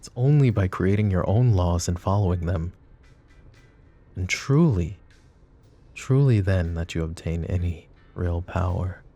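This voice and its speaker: Mafia boss, played by a male actor: very low, dark, coaxing and possessive, for intimate ASMR roleplay. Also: expressive, soft background music, exaggerated voice. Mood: brooding, commanding. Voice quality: low, gravelly murmur. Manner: deliberate, coaxing, philosophizing.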